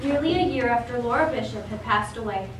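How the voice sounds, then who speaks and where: A woman's voice: on the high side, lively intonation, fairly quick, lightly acted. A young woman narrating a tragic adventure tale as an earnest storyteller in a stage reading. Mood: solemn. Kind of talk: dramatic scripted stage narration. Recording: distant, echoey stage recording, faint noise.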